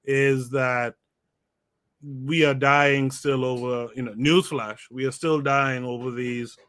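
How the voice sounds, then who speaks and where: Relaxed man hosting a podcast livestream. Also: mid-range voice, slow pace, clean tone. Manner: relaxed moderator, reads out viewer comments and calls on guests. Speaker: podcast host. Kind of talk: moderating a livestream discussion.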